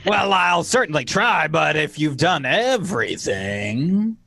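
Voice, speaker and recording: A man's voice: fairly high pitch, big pitch swings, slow, creaky, pushed and bright, heavily acted. A man playing an affable, slightly baffled friend in a comic radio play, solicitous and hesitant.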